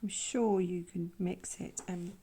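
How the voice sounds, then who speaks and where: A woman with a croaky voice from a sore throat, softly talking through a painting tutorial in quiet, half-whispered asides. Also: fairly low pitch, steady tone, slow. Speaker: art channel host. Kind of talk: soft-spoken painting tutorial.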